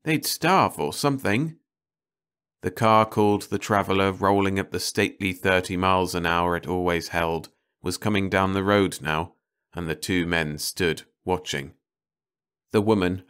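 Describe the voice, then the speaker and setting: A male audiobook narrator reads a scripted story. His voice is deep, resonant and clean. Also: fairly bright tone, clean tone.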